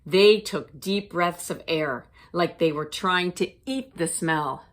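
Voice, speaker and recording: A woman reads a picture book aloud as a teacher would. Her voice sits a little low, and she takes her time, phrasing each line expressively for young children. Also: slow. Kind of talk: children's storybook read-aloud.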